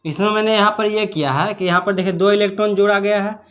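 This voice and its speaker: A man's voice: high-pitched, fairly even intonation, quick pace, a bit bright. A man teaching chemistry step by step as an instructor, repeating his points for students. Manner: repetitive, instructive. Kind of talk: step-by-step exam problem lesson.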